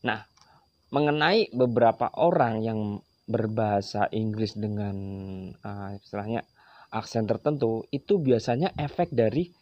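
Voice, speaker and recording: A young man from Indonesia, hosting and explaining in a relaxed, conversational way, with frequent fillers. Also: medium pitch, muffled recording. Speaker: English teacher, channel host. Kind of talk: casual explainer talk to camera.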